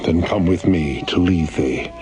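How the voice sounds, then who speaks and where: An actor playing a sinister, manipulative sorcerer villain in a space radio drama, coaxing and menacing. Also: male voice, low-pitched, takes it slowly. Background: loud music.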